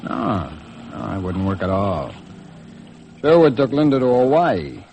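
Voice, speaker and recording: A man's voice: fairly low pitch, sing-song, a little slow, darkish tone, muffled recording. An actor playing an easygoing, chatty American man aboard a boat in a 1950s radio drama. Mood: amused. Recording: noisy vintage 1950s radio recording.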